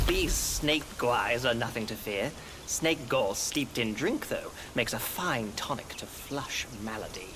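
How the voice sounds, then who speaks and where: A jovial host in a game cutscene, mid-pitched and unhurried, swinging his pitch up and down. He coaxes and jokes heartily. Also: expressive, noisy background. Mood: hearty. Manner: hearty, coaxing. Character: jovial male innkeeper offering snake-gall wine.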